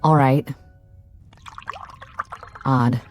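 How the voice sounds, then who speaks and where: An actress playing a quiet, thoughtful assistant code breaker with an uneasy manner in a radio drama. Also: female voice, very deep voice, fairly even intonation, drawn-out words, faint music behind, exaggerated voice.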